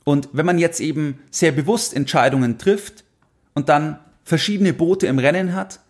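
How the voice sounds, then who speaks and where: A man's voice: on the high side, moderate pace. A man hosting a finance podcast, explaining in a monologue and repeating phrases to drive points home.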